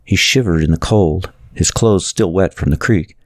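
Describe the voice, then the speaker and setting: A man's voice: low voice, moderate pace, no room sound, studio quality. A man reading a novel aloud as a scripted audiobook narrator.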